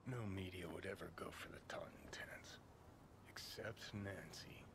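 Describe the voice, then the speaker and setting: A man's voice: low-pitched, unhurried, creaky, a bit bright, in a whisper, heavily acted. A man playing a brash, cynical rock rebel in a video game, laconic and slangy. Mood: brooding. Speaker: game character. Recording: game dialogue with noisy ambience.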